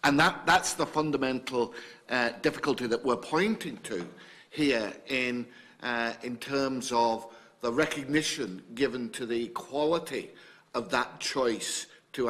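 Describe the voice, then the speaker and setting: English witness, middle-aged man, bright forceful voice, wry and hedging. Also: mid-range voice. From England. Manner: hedging, wry, with small laughs. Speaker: panel witness. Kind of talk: committee testimony.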